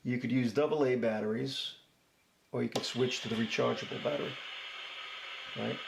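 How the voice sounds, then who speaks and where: A man with a gravelly, creaky edge hosts a casual product review. He is folksy and chatty, explaining step by step. The room is echoey, with a faint layer of noise. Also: medium pitch, steady tone, normal speed. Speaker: host of a DIY product review channel. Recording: roomy echo, faint background noise.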